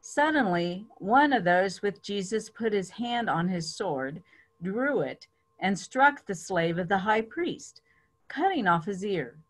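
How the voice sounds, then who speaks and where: A woman narrates a passage of scripture in a church dramatic reading. Her voice sits at a middle pitch. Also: very animated, slow.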